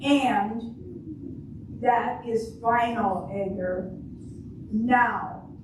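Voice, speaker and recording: Slow, scolding old wife played by a woman. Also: a little high. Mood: firm, reproachful. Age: older. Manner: deliberate, reproachful, firm. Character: exasperated, scolding old wife in a comic sketch. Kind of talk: amateur readers' theater comedy. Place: live stage reading in a roomy hall. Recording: echoey room, distant mic, clear background noise.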